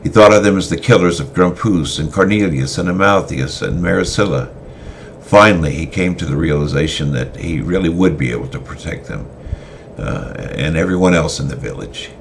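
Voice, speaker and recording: Very deep, gravelly male storyteller. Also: slight background noise. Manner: halting, with fillers and restarts. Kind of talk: semi-dramatic story reading.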